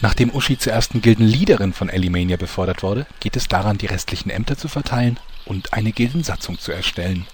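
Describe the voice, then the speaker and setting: A man's voice: fairly low pitch, fast talker, a little mellow, a little hiss or hum, lightly acted. A man playing a mock-solemn storyteller, narrating a fantasy parody audio drama from a script.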